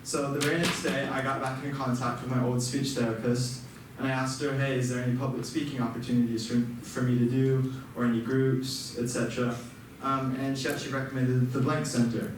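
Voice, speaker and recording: A man's voice: mid-range voice, little pitch movement, fairly quick, a little hiss or hum. A young man who stutters, giving an earnest personal speech to an audience, with repeated sounds and restarts. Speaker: student speaker. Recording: echoey hall, mic far off.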